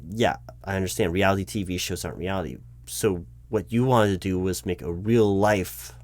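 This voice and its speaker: A man playing a nervous, baffled corporate recruiting manager in an audio roleplay, polite and earnest with halting, stammering speech. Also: sits low, darkish tone, dry recording. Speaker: roleplay performer. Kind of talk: one-sided audio roleplay of a job interview.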